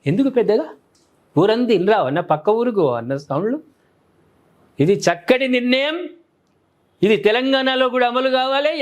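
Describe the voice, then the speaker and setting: A male news presenter with a strikingly high-pitched voice and a Telangana Telugu accent. He gives opinionated commentary straight to his viewers. His delivery is colloquial and emphatic, leaning on rhetorical questions.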